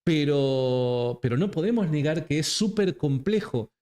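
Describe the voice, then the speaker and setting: A man's voice: on the high side, lively intonation, measured pace, dark tone. A man with an Argentine accent, hosting a casual interview in a colloquial, affectionate way and repeating words for emphasis. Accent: Argentine (Rioplatense) Spanish. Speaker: interviewer, host.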